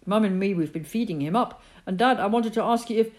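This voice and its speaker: A woman's voice: medium pitch, a little fast, darkish tone, pitched above the performer's own voice, close to an everyday voice. A woman voicing an earnest fourteen-year-old farm boy, eager and pleading, in a storybook reading.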